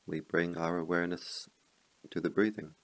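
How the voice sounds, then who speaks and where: A man leading a guided meditation as a teacher, speaking in slow, measured phrases with long pauses. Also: low voice, fairly even intonation.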